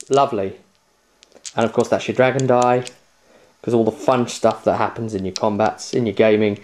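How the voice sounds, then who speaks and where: A man's voice: medium pitch, a little mellow. A man hosting a casual tabletop game unboxing, chatty and jokey with playful theatrical flourishes. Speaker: host of a tabletop game review show. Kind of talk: casual unboxing review to camera.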